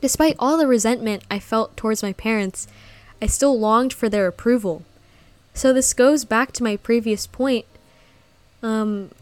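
Earnest young woman podcaster, higher voice. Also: no echo. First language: Vietnamese. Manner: earnest, soft-spoken, breaks into giggles at the end. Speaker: podcast host. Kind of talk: personal reflective podcast monologue.

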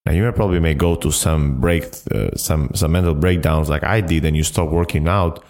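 A man hosting a solo motivational podcast, speaking in a halting, repetitive and emphatic way. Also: very deep voice, a little mellow. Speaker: podcast host.

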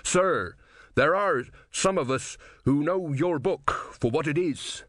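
A hot-headed rebel soldier performed by a male narrator in a storybook reading. He is zealous and urgent, with a raised, animated pitch and a very dry sound. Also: takes it slowly, no room sound, exaggerated voice. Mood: reverent, urgent.

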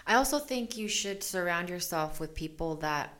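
A woman's voice: lowish voice, lively intonation, moderate pace, fairly bright tone. A woman from El Salvador, a business owner, talking candidly in an interview. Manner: candid, chatty, laughs often. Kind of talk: casual interview.

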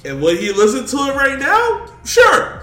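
High, lively man in an echoey room. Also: moderate pace, soft background music. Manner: loose, slangy banter that often tips into laughter. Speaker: podcast co-host. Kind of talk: casual chat. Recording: echoey room, mic at a distance.

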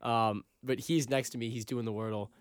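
Young Boston-accented man, animated storyteller. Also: mid-range voice, moderate pace, smooth, no room sound, clean studio sound. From Boston area, USA. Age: young adult. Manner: animated storyteller, acts out quotes. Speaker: podcast co-host, college student. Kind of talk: casual chat.

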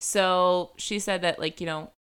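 A woman's voice: lowish voice, fairly even intonation, a little slow, a bit bright, clean tone. A young woman hosting a true-crime podcast, telling a case in a casual chatty way, full of "like" and "um" fillers. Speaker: podcast host.

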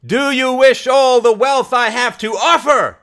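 A man's voice: high-pitched, lively intonation, measured pace, a bit bright, pitched above the performer's own voice, exaggerated voice. A man voicing a fiery, defiant rebel woman who preaches to rouse a mob in declamatory audiobook oratory. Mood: goading, screaming. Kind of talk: audiobook character acting.

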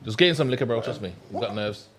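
A man's voice: medium pitch, animated, rapid-fire, a crowd in the background. A man hosting a casual podcast, teasing and laughing in slangy banter. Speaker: podcast host.

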